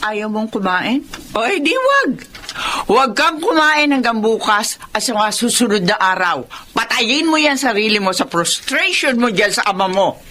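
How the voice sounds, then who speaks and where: A woman's voice: fairly high pitch, big pitch swings, normal speed, bright, forceful tone. A stern working mother. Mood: sarcastic scolding. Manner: scolding then earnestly explaining. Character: working mother, career woman, stern but loving. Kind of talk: radio drama acting. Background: background music.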